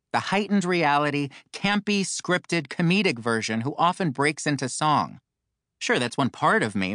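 A man's voice: fairly high pitch, a bit bright, dry recording, studio quality. A man reading his own memoir aloud as an audiobook, with campy, wry comic asides.

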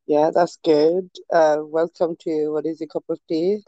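A young woman hosting a podcast, chatting casually and warmly with her guest, with fillers. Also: low voice, level tone, unhurried, highs cut off. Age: young adult. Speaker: podcast host. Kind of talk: casual interview chat.

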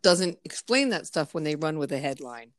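A woman's voice: sits low, lively intonation, moderate pace, dry recording, studio quality. A woman hosting a podcast, chatting bluntly with frequent swearing. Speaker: podcast host. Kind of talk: casual podcast chat.

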